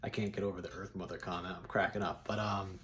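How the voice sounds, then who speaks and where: A man's voice: sits low, flat delivery, quick pace, a bit bright. A man in his thirties chatting casually and bluntly to his viewers, with informal, buddy-like phrasing. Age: 30s. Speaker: solo video host giving personal opinions.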